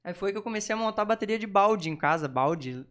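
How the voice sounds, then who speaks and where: A man's voice: high voice, lively intonation, fairly quick, a bit bright. A man telling personal stories as a podcast guest, informal and conversational.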